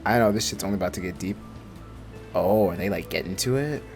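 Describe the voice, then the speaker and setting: A man's voice: low voice, a little fast, gravelly. A young man giving casual, sweary, slangy reaction commentary over a video game. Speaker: gaming YouTuber. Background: game music.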